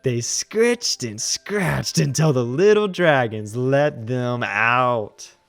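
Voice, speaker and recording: A man reading a children's storybook aloud as an animated narrator. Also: mid-range voice, slow, gravelly, no room sound. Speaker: children's book reader.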